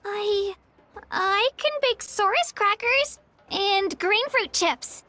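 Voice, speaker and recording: A woman's voice: very high-pitched, takes it slowly, pushed and bright, soft background music, exaggerated voice. A woman voicing an upbeat, friendly young female warrior in a video game, eager in manner.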